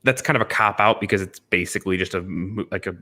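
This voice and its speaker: A man's voice: sits low, fast talker, pushed and bright. A man co-hosting a casual group discussion, offering opinions and qualifying them as he goes. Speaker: podcast co-host.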